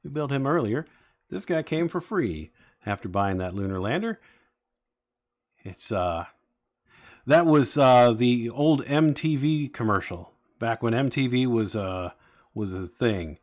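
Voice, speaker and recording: A man's voice: medium pitch, lively intonation, unhurried, darkish tone. A man in his forties chatting casually with live-stream viewers, rambling with many fillers as he talks. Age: 40s. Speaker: streamer building toy sets.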